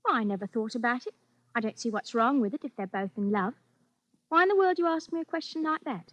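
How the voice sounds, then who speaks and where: An actress playing a plaintive, affectionate, nagging young English wife, chatty and quick to protest, in 1940s radio drama style. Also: female voice, on the high side, expressive, a bit bright, no room sound. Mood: querulous. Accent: British. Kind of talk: 1940s radio suspense acting.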